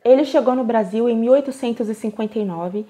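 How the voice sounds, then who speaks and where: Brazilian woman narrating true crime, kinda high voice, chatty and casual. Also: brisk, mellow. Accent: Brazilian Portuguese. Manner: conversational, chatty asides with slang. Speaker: true crime channel host.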